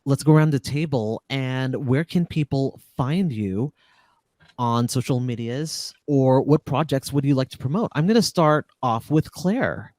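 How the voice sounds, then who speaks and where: A man moderates a panel in a polite, orderly way. He speaks slowly at a middle pitch. The sound is dry and free of room. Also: a little mellow, no echo. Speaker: host, moderator. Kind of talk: roundtable hosting.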